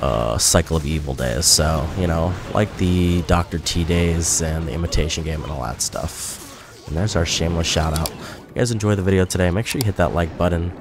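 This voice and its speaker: A man's voice: sits low, moderate pace, noisy background. A man giving casual, rambling commentary over his gameplay video, sounding a little nasal from a cold. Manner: rambling, self-correcting, chatty. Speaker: gaming YouTuber. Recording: music playing behind.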